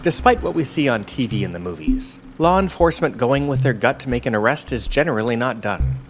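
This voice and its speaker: A man's voice: medium pitch, lively intonation, fairly quick, natural voice. A man performing scripted narration as a formal, explanatory narrator of police procedure in an audio drama. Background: music.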